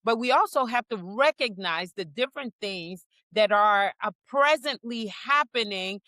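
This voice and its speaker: Woman co-host, mid-pitched, measured and explanatory, dry sound. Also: lively intonation, bright, forceful tone, dry recording. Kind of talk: casual faith-based discussion.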